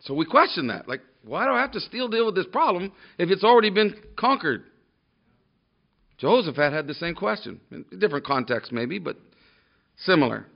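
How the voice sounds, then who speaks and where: A man preaching loudly and emphatically to a congregation, often breaking off and restarting his sentences. His voice sits a little high and has a gravelly edge. It comes through a dull, lo-fi sermon recording. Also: very animated, a little fast. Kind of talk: sermon with scripture reading. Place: church room with a congregation.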